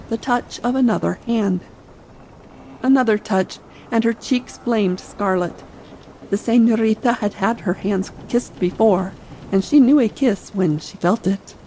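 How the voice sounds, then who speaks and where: Creaky-voiced woman reading an adventure tale. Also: medium pitch, mellow, poor audio. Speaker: narrator. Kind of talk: dramatic audiobook reading for late-night listening. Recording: faint ambient sea noise behind. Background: sea ambience.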